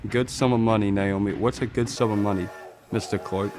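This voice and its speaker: A blunt, grasping married man played by a male actor in a radio play. His voice sits on the low side, with faint music behind it. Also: steady tone, a little fast, natural voice. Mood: greedy. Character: greedy, blunt husband haggling for money. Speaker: amateur radio drama actor. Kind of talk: radio drama acting.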